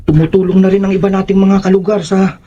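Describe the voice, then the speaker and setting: A man's voice: high voice, little pitch movement, quick pace, dark tone, highs cut off. A man playing a young man, a loyal but easily rattled friend, in a horror audio drama, speaking in a casual conversational way. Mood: reassuring. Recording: clear background noise.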